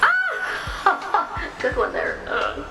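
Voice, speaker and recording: An actress voicing a sentient mirror in a video game, a squeamish, timid but cheeky sidekick with an excitable, expressive delivery and an echoing effect. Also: female voice, extremely high pitch, extremely slow, full character voice. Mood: grossed out, squealing. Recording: echoey, distant, loud music behind.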